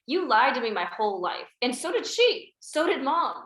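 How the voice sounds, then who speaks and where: Woman reading a hurt young woman lashing out, highish voice, echoey room. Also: sing-song, moderate pace, a bit bright. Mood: hurt, accusing. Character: young woman, hurt and confronting her family. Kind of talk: screenplay table read. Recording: roomy echo.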